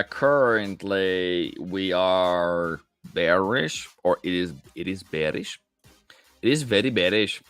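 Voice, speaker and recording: A man's voice: low voice, fairly bright tone, no room sound. A man hosting a live trading stream, talking casually and answering viewers directly as he works through the charts. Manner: casual, chatty, answers viewers directly, calls them mate. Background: faint background music.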